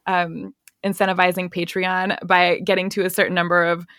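A woman guest on a podcast, chatting casually in a bubbly, energetic way, often laughing, with a voice others find relaxing. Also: fairly low pitch, normal speed, no room sound, studio quality. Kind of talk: casual interview chat.

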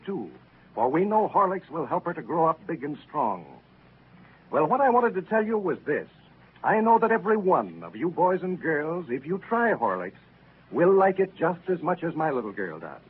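A man with an American accent announcing in 1930s radio style, speaking folksily and directly to his listeners. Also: fairly high pitch. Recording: tinny, lightly noisy 1930s radio recording.

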